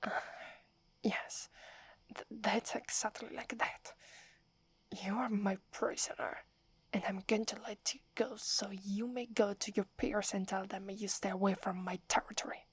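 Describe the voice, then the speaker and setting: A woman with an Italian accent voicing a proud young werewolf woman, whispering softly close to the mic and stammering when flustered. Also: medium pitch, fairly even intonation, a little slow, breathy edge, no echo. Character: proud young werewolf woman, gruff but secretly fond. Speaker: ASMR roleplay performer. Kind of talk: whispered ASMR roleplay.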